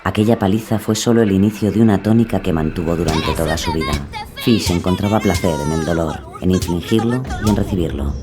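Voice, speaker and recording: A man with a low-pitched voice narrates a dramatised true-crime script. Music plays clearly behind him. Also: a little fast.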